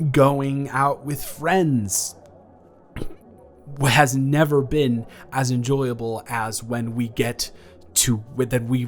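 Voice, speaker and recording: A young man playing a nervous, tender ex-boyfriend in an intimate, improvised romantic audio roleplay, speaking earnestly in a halting, rambling way. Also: mid-range voice, lightly acted. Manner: halting, rambling, earnest delivery with repeated restarts.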